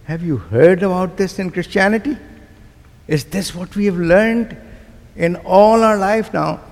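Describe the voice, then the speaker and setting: A man with a Pakistani accent delivers a sermon in a large church, his slightly high voice carried by long reverb. He speaks slowly and emphatically, pausing between phrases and putting questions to the congregation. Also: darkish tone. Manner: rhetorical questions put to the listeners. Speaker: guest preacher.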